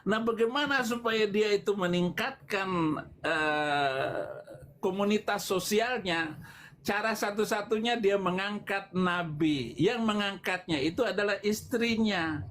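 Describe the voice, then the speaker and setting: A man giving an extemporaneous religious polemic talk as a preacher on a livestream, explaining in a halting way. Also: high-pitched, fairly bright tone, slight background noise. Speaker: preacher answering questions on a livestream.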